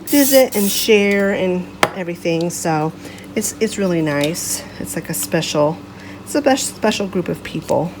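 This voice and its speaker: A middle-aged woman talks to her vlog viewers in a loose, rambling way, often correcting herself mid-sentence. Her mid-range voice sounds stuffy and gravelly from a lingering cold. A faint hiss sits under the recording. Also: mid-range voice. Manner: rambling and chatty, self-correcting. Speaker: vlog host. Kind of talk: casual vlog chat.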